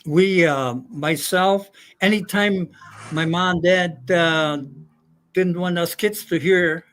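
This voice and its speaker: An older man from Germany telling his life story, halting with many fillers. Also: high voice, steady tone, a bit bright, a little hiss or hum. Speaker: guest. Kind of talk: casual storytelling.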